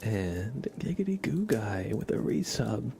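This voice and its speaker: A soft-spoken man hosting an ASMR livestream, gently chatting with viewers and often slipping into a whisper, close on the mic. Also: fairly low pitch, very animated, measured pace, vocal fry, a little hiss or hum. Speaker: livestream host. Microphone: close ASMR microphone.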